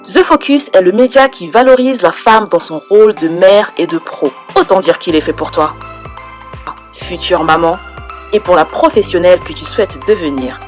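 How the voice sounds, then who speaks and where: A woman reading a scripted promotional ad in a smooth, even, persuasive manner. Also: fairly low pitch, normal speed, soft background music. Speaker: ad voice-over.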